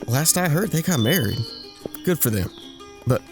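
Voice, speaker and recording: A man's voice: fairly high pitch, expressive, moderate pace, over music. An actor playing a bashful, bragging womanizer in an audio drama, telling racy tales in a folksy, stumbling way full of innuendo. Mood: rueful. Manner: folksy, innuendo-laden, stumbling into self-corrections. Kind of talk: audio drama acting, anecdotal storytelling.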